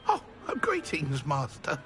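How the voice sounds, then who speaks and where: Servile, nervous house elf, wildly swooping. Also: male voice, a little high, wildly swooping pitch, takes it slowly, fairly bright tone, full character voice. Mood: fawning, nervous. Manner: grovelling, stammering, speaks of himself in third person. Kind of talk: video game character acting.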